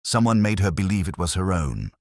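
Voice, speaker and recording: A man voicing a shrewd police inspector in a mystery story, soft and murmuring, with measured, knowing delivery. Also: sits low, sing-song, no echo, clean studio sound, voice pushed up. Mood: quietly suspicious.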